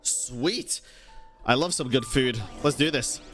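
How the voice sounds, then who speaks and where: A man's voice: mid-range voice, slow, a bit bright. A man live-streaming a video game, informally reacting aloud and chatting to his viewers. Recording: game music behind the voice.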